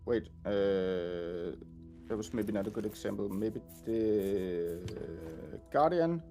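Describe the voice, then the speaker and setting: A man working as a game audio designer, talking casually and haltingly while showing a game. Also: on the low side, dark tone, soft background music. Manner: hesitant, many fillers and restarts.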